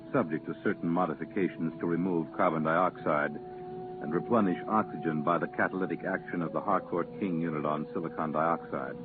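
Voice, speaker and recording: A man's voice: low-pitched, natural voice. An actor narrating a 1950s science fiction radio drama as a formal, grave, resonant male announcer. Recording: muffled 1950s radio recording, music behind.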